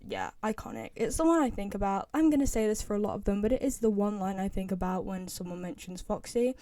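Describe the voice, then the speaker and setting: A woman's voice: mid-range voice, mellow, no room sound. A young woman giving casual, opinionated video commentary in an informal, emphatic way, repeating herself for emphasis. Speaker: video creator ranking game voice lines.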